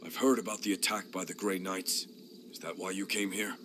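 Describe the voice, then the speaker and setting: A man voicing a grave, watchful lord commander in a game cutscene, speaking in a deliberate way. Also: low voice, fairly even intonation, background noise, close to an everyday voice.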